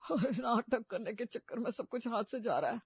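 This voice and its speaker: A woman's voice: a little high, expressive, moderate pace, slightly rough, no echo, lo-fi sound, full character voice. A woman voicing a whiny, hesitant young son-in-law in an exaggerated Hindi comedy cartoon. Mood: whining, frustrated.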